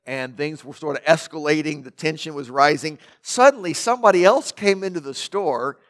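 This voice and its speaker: A man preaching from the pulpit, his loud voice sitting a little high for a man. He presses his points with rhetorical questions and stacked lists, rising and falling as he builds. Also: normal speed. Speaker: pastor. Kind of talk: sermon.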